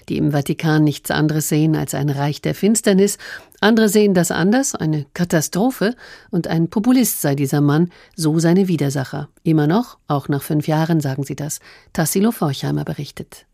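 A woman's voice: low voice, gravelly, dark tone, dry recording, clean studio sound. A woman presenting a culture magazine on the radio from a script.

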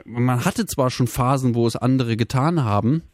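A man's voice: medium pitch, moderate pace, darkish tone, no room sound, studio quality. A male radio host from Bremen chatting with callers, often stumbling and restarting his sentences. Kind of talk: radio call-in chat.

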